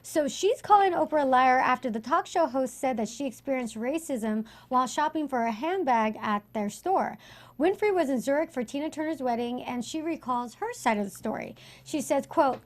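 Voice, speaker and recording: A woman's voice: sits high, expressive, normal speed. A woman hosting a talk show, presenting news items and discussing them with a panel.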